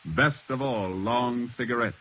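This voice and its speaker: A man with an American accent doing formal, scripted 1950s radio announcing in a measured, authoritative way. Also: mid-range voice, a bit bright, slight background noise. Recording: dull vintage radio recording.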